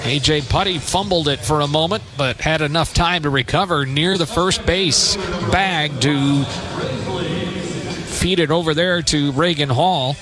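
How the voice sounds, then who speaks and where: A man's voice: on the high side, a little slow, music in the background. A man doing live radio play-by-play of a baseball game in short, punchy, descriptive phrases. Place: open-air stadium press perch.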